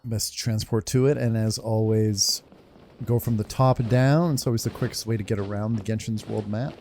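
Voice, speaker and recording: A man's voice: fairly low pitch, steady tone, moderate pace, creaky, warm tone, a little hiss or hum. A man giving casual playthrough commentary as a gaming video host, informal, with muttered asides. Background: game music.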